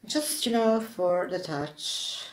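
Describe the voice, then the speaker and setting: A woman vlogger chatting casually to the camera in a halting, hesitant way as she gets ready. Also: lowish voice, lively intonation. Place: plain-walled room indoors. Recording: echoey room.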